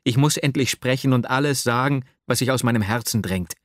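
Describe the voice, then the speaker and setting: A man's voice: mid-range voice, unhurried, no room sound, close to an everyday voice. Lovesick, self-doubting young man for a literary audiobook, acted by a male reader: earnest, urgent, medium register, very dry recording. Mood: impassioned. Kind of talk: dramatic literary audiobook reading.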